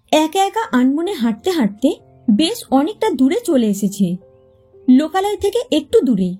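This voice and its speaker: A woman's voice: sits high, mellow. A woman narrating a scripted, dramatic short story as a storyteller, with music behind her. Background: background music.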